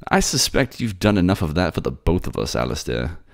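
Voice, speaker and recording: A man's voice: low-pitched, big pitch swings, a little fast, gravelly, voice pushed up, full character voice. A man voicing a suave, teasing prince of the sea people in an audio drama, courtly and sardonic with old-fashioned turns of phrase. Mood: dry, mocking.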